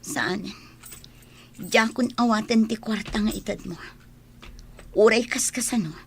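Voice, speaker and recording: A woman's voice: medium pitch, measured pace, slight background noise, lightly acted. An actress playing a worried, long-suffering wife and mother in an Ilocano radio drama, earnest and emotional, with sighs. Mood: firm, pleading.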